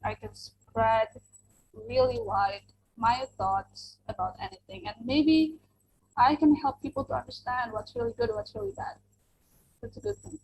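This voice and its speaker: A woman's voice: high-pitched, sing-song, measured pace, creaky, a little mellow, slight background noise, poor audio. A young adult woman from Indonesia, an English teacher whose first language is Indonesian, answering interview questions earnestly with many fillers and restarts.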